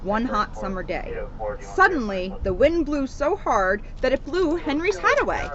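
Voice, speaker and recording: A woman reading a children's storybook aloud in an animated, expressive way, with emphasis on the sound words. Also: sits high, a little slow, crowd noise. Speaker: storybook reader, police officer. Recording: noisy, dull outdoor recording.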